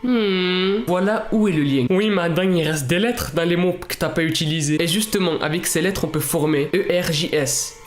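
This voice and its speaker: A man's voice: fairly high pitch, moderate pace, hardly any pauses. A man on a YouTube channel who tells an internet mystery in a casual, joking way and talks straight to his viewers. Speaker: YouTube storyteller. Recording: roomy sound with background music.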